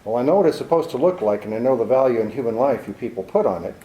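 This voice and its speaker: Man as a hard-nosed detective, blunt and sardonic, mellow dark tone, roomy echo, radio drama. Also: mid-range voice, animated, racing, dull audio, close to an everyday voice. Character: tough hard-nosed male private detective. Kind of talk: 1940s radio acting.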